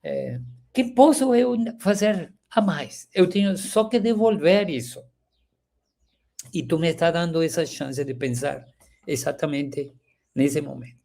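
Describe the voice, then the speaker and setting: A man in his sixties from Venezuela, speaking Portuguese with a Venezuelan Spanish accent, reflecting slowly as an interview guest over a video call with many pauses and the filler "este". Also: on the high side, vocal fry. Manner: warm, halting, frequent filler "este".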